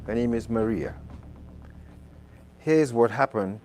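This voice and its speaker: A man's voice: medium pitch, animated, takes it slowly. A man hosting a live radio talk show, chatty and prone to repeating words. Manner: conversational, repeats words while searching.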